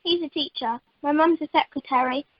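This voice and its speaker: A friendly, direct teenage girl , played by a woman, chatting in a high voice. It is amateur radio comedy, with a dull, dry telephone-conference sound. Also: fairly quick, dry recording, close to an everyday voice. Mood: chatty.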